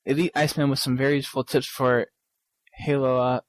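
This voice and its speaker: A man co-hosting a podcast, reading listener emails aloud haltingly, with frequent ums and restarts. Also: mid-range voice, flat delivery, no echo. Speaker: podcast co-host.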